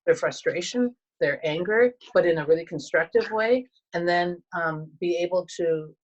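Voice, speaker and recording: A Canadian woman in her thirties with a low voice speaks softly and thoughtfully. She pauses often and restarts her sentences. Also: dull audio. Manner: soft-spoken, reflective, many fillers and restarts. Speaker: guest, teacher and coach. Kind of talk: casual conversation.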